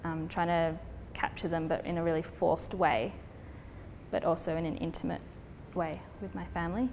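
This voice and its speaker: Young woman, lowish voice, thoughtful artist talk, gallery echo and light noise. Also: moderate pace. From Colombia. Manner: thoughtful, steady, with frequent fillers. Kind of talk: explaining her artwork in an interview. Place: gallery space. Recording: long reverb with faint background noise.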